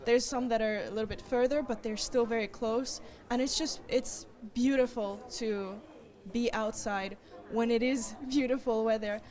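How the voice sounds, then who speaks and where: Young female student, fairly high voice, reflective and unhurried. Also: fairly even intonation, a little mellow. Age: young adult. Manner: thoughtful, with frequent pauses and fillers. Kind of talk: interview answers.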